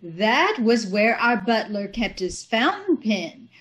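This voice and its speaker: Woman playing haughty, slow-talking society lady. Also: fairly high pitch, big pitch swings. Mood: smug. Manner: prim, condescending, measured. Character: haughty, genteel society woman, coolly one-upping. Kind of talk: old-time radio comedy acting. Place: indoors on a live Zoom call.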